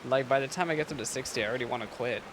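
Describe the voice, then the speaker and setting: A woman's voice: very deep voice, rapid-fire. A woman doing casual playthrough commentary, slangy and given to exaggerated phrasing. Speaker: game streamer. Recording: noisy mic, game sound behind.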